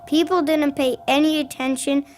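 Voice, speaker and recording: Young child narrator with a high voice. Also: steady tone, moderate pace, darkish tone, a little hiss or hum. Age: child, about 9 or 10. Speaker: school pupil narrator in a radio drama. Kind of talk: scripted narration, read-aloud storytelling.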